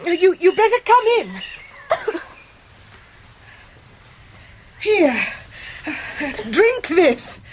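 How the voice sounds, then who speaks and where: An actress playing a brusque, eccentric, soft-hearted middle-aged English aunt in a radio drama, sharp, bossy and exclamatory. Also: female voice, extremely high pitch, very animated, slow, background noise, heavily acted. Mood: flustered. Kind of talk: radio drama acting of a Victorian classic.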